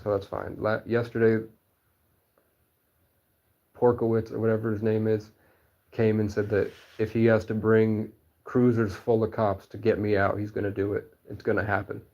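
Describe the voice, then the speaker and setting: Male interviewee, low and monotone, rambling candid talk, muffled lo-fi recording. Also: moderate pace, pauses often. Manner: meandering, full of "like" fillers and restarts.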